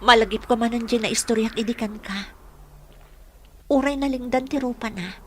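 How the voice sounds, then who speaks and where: A woman's voice: a little high, moderate pace, fairly bright tone, a little hiss or hum, natural voice. An actress with an Ilocano accent playing a feisty young village woman in a radio drama. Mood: regretful, subdued.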